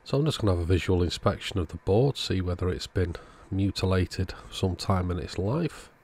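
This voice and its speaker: A man, host of an electronics repair video, calmly thinking aloud as he methodically works on a radio at his workbench. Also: low-pitched, fairly quick, husky. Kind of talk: casual narrated repair walkthrough.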